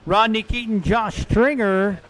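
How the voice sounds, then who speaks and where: A man's voice: sits high, measured pace, fairly bright tone, slight background noise. A man working as a dirt track race announcer, calling out drivers, car numbers and lap times in live commentary. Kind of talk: live motorsport commentary over a PA, reading names and times.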